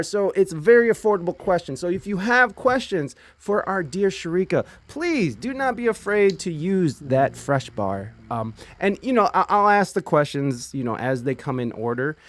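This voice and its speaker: A man's voice: high voice, no echo. A man hosting a livestreamed talk show, joking with his guest and talking to the chat. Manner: joking, playful, reads chat aloud. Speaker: host of a streamed talk show. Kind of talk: casual livestream interview.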